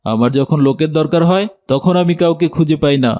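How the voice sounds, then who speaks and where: A man's voice: on the high side, brisk, dark tone, natural voice. A man voicing a polite but dismissive factory boss in a cartoon. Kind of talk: cartoon voice-over dialogue.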